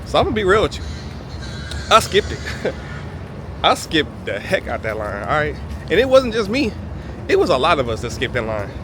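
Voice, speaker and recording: A man vlogging on a city street with a phone, talking in an amused, animated, joking way. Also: fairly high pitch, moderate pace, pauses often. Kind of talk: on-location vlog commentary. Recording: outdoor street noise with loud music.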